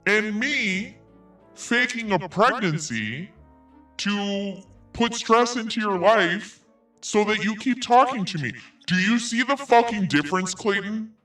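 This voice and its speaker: A man's voice: sits high, animated, slow, sharp tone, recorded from a distance, faint music behind. A man hosting a commentary podcast, reading messages aloud in a sarcastic tone and swearing freely. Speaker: podcast host.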